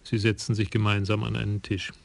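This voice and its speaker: A man narrating a radio crime play in a calm, literary way. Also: lowish voice, fairly quick, gravelly. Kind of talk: scripted narration.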